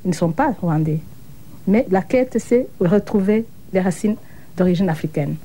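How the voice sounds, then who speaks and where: A woman's voice: lowish voice, animated, normal speed, warm tone, rough recording. A middle-aged woman from Burundi, giving an earnest, thoughtful account as an interview guest. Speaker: interview guest, association co-founder. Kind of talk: reflective broadcast interview.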